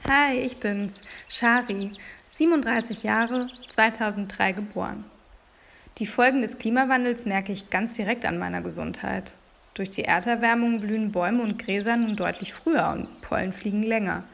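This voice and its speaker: Actress as a 37-year-old allergy sufferer, earnest, with a gravelly creak. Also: female voice, mid-range voice, moderate pace, a bit bright, slight background noise. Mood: plain. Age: 30s. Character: woman of 37 suffering worse allergies. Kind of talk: scripted first-person testimony.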